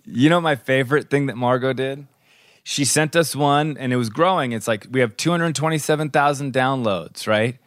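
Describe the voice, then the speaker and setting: A man's voice: mid-range voice, normal speed, no room sound, clean studio sound. A man co-hosting a podcast in casual chat, teasing and playful, laughing often. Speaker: podcast co-host.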